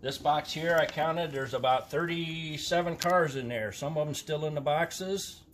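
A man's voice: fairly high pitch, measured pace, fairly bright tone, slight background noise. A man in his fifties, a guest collector, explaining items in a matter-of-fact, knowledgeable way, recorded on a phone camera in a large warehouse. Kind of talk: casual explaining. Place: large indoor storage warehouse.

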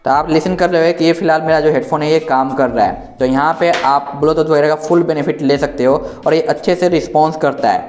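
A man's voice: on the high side, darkish tone. Indian man in an echoey room. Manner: explanatory, addresses viewers directly. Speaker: tech reviewer, host. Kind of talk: informal smartphone software walkthrough. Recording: echoey, roomy sound.

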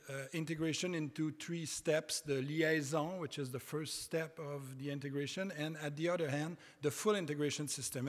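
A middle-aged man with a French Canadian accent, a native French speaker giving a conference lecture from a podium, halting with many hesitations and restarts. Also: a little high. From Quebec, Canada. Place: large conference hall stage. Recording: reverberant conference hall.

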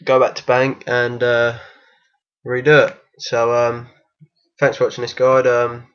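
A man with a croaky voice from being unwell gives a casual, hesitant game tutorial voice-over. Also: mid-range voice, completely flat delivery, a little slow, fairly bright tone, highs cut off. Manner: hesitant, many ums and restarts. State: croaky from illness. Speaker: game guide maker.

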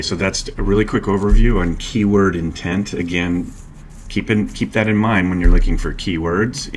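Man, middle-aged, very low voice, calm explanatory tutorial narration, desk mic, faint background hiss. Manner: calm, explanatory, walks through clicks step by step. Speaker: marketing instructor. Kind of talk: screen-share tutorial narration.

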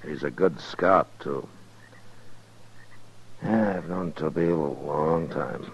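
An actor playing a terse, principled frontier marshal in a 1950s radio western. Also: male voice, a bass voice, slow pace, slightly rough, exaggerated voice. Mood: easygoing. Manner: terse, weighty, matter-of-fact. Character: steady, principled frontier US marshal, also narrating. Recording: dull 1950s radio recording with music.

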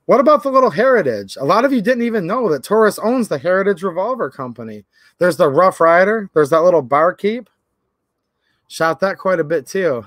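Man in his thirties, high voice, slightly raspy, rambling earnest livestream talk, dry close webcam sound. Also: animated, fairly quick, a bit bright, no room sound. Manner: earnest, rambling, repeats "literally" and "like". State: slightly raspy from pollen and cold. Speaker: channel host. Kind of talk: casual livestream talk to viewers. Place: indoor workshop or garage.

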